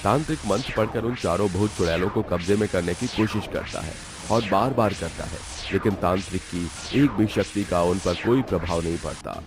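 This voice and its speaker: Deep-voiced man narrating a horror story. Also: a little fast, few pauses. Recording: music under the voice.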